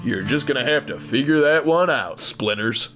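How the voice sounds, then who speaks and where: Guy doing a stubborn no-nonsense horse in an audio drama, folksy and blunt, animated delivery. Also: medium pitch, moderate pace, faint music behind. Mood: firm, dismissive. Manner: gruff folksy slang, blunt. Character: tough, stubborn male workhorse, plain-spoken and unsentimental.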